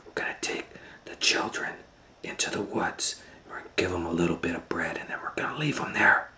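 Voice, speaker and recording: A man's voice: low-pitched, lively intonation, a little fast, sharp tone, echoey room, in a whisper, exaggerated voice. A man voicing a scheming, nagging, ill-tempered stepmother in a storybook, scolding and bossy.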